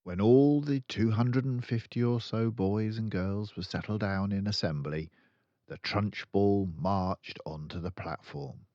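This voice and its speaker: An older man reading a children's story aloud, deliberate and dramatic in his narration. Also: sits low, darkish tone. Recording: slightly dull, roomy home recording.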